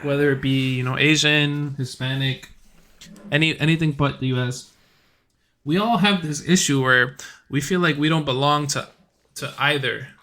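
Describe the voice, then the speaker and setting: A man's voice: a little high, moderate pace, pushed and bright. A man co-hosting a casual podcast chat, laid-back and rambling, with a faint Puerto Rican accent and a habit of swearing. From United States. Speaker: podcast co-host.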